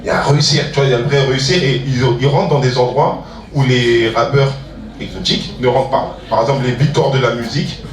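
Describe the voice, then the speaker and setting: A man's voice: mid-range voice, steady tone, fast talker. A man speaking informally as a guest at a public talk, using slang and repeating phrases for emphasis. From Ivory Coast. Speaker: music producer and entrepreneur, guest speaker. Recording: roomy hall, distant mic, audience murmur.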